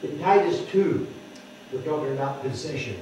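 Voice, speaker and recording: An older man preaching at a lectern, explaining scripture word by word and stressing key words loudly. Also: a little high, lively intonation, moderate pace, recorded from a distance, a little hiss or hum. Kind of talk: sermon teaching scripture. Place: wood-paneled church hall, speaking at a lectern. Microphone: microphone on the lectern, heard from a distant camera. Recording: echoey hall heard from afar, dull.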